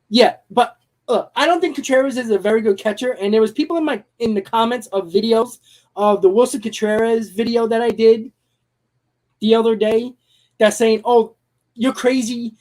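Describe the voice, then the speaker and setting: A man hosting a live-streamed sports talk show, hoarse from losing his voice, giving blunt, emphatic opinions. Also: very high-pitched, moderate pace, darkish tone.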